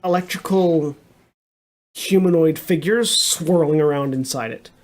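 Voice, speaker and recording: A man runs a tabletop roleplaying session with a slightly high voice, describing scenes haltingly and voicing little clangs and whooshes himself. he narrates casually to his players. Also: a little hiss or hum. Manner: mouths sound effects, halting descriptions. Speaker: game master.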